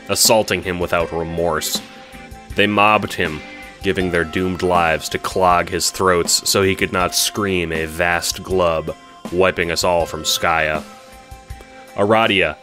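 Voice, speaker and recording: Casting: male narrator, low and bright-edged, solemn delivery of an epic script. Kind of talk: dramatic scripted story narration. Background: instrumental music with guitar.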